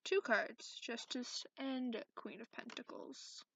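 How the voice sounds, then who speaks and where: A woman voicing a cranky, sarcastic, centuries-old dragon in a soft-spoken ASMR roleplay, haughty and grudging. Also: fairly high pitch, fairly even intonation, takes it slowly, gravelly, slight background noise, exaggerated voice. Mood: dry, sardonic. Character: cranky sarcastic centuries-old dragon who looks young, reading tarot. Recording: dull lo-fi microphone sound.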